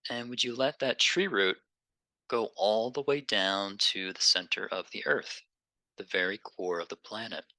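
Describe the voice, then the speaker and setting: A man leading a guided meditation in a gentle, unhurried, conversational way, pausing between phrases. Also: fairly low pitch, no room sound.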